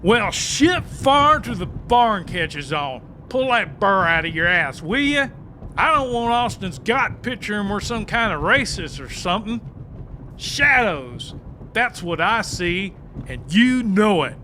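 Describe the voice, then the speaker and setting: A high, bright male voice, slow-paced, for a cocky country sidekick in an audiobook drama. He is folksy, crude and blustering. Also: sing-song, slight background noise, exaggerated voice. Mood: indignant.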